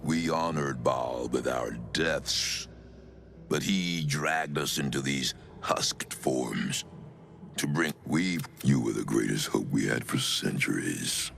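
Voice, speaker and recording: A grim undead cult elder from a fantasy game, rumbling at the very bottom of the range. The voice is heavily gravelled and slow, pronouncing murderous doctrine in solemn, archaic phrases over faint music. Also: male voice, a bit bright, full character voice. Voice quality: very deep, gravelly. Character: dread undead male cultist elder, grim, murderous zealot. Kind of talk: dramatic fantasy game acting. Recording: music behind the voice.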